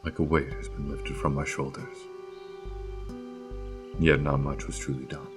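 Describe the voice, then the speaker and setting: A man acting in a fantasy audio drama as a gentle, melancholy guardian of a flower field, speaking in measured, halting phrases. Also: very deep voice, level tone, over music. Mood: tender, grateful.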